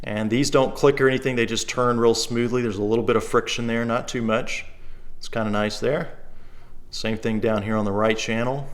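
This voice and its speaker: Easygoing man demonstrating a product. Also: lowish voice. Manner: easygoing, plain-spoken, thinking aloud as he goes. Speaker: secondhand shop presenter. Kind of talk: casual unscripted product demonstration.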